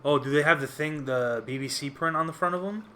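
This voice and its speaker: A man's voice: on the high side, fairly quick, pushed and bright. A man co-hosting a podcast in casual chat, chiming in supportively with slang and some swearing. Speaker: podcast co-host. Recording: faint background noise.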